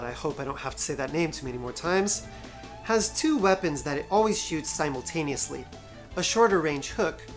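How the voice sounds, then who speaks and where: A woman narrating a scripted video game review as its host, with wry, sarcastic asides and emphatic stresses. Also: deep voice.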